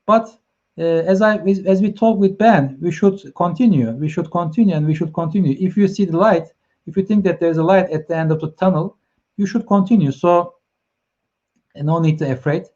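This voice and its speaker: A man's voice: high-pitched, moderate pace, darkish tone, lo-fi sound. A man from Turkey with a Turkish accent, hosting a live stream talk in English, hesitant with many fillers and restarts as he encourages his viewers. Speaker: host.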